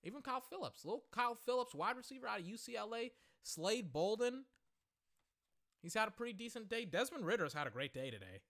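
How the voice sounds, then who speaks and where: A young man hosting a podcast, reacting live while he watches, excitable and swearing freely, his voice going hoarse. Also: sits high, a little fast. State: voice getting hoarse. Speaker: podcast host.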